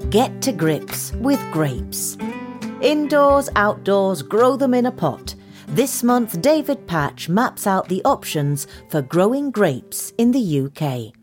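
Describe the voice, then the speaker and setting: A woman reading a scripted gardening article aloud in a measured, instructional manner. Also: mid-range voice, big pitch swings, soft background music. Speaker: reader of a gardening magazine article. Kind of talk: scripted narration.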